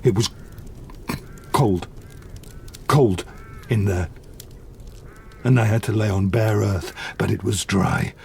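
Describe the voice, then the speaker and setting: A man playing a lonely, wounded, eloquent monster in an audio drama, speaking slowly and solemnly. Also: fairly low pitch, vocal fry, darkish tone, slight background noise. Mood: solemn, remembering. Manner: slow, deliberate, solemn storytelling.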